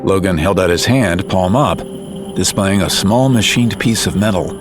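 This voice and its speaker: A man narrates an audio drama in a steady, measured storytelling voice. His pitch sits low, and a clear music bed plays under his reading.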